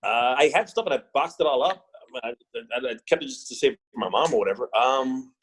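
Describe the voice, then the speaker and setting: Man from Puerto Rico, husky, quick talker, casual podcast guest. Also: mid-range voice, expressive, a bit bright. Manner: halting, repeats words when thinking. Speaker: wrestler guest.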